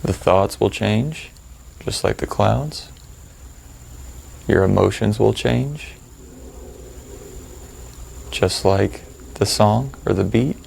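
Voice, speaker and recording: A man leading a guided meditation in a calm, unhurried, conversational way, with long pauses and plain, informal wording. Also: on the low side, gravelly, a little hiss or hum.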